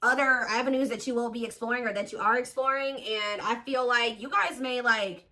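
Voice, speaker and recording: A woman's voice: a little high, steady tone, a little fast, sharp tone. A woman giving a casual tarot reading, thinking aloud with lots of fillers and restarts. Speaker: tarot reader.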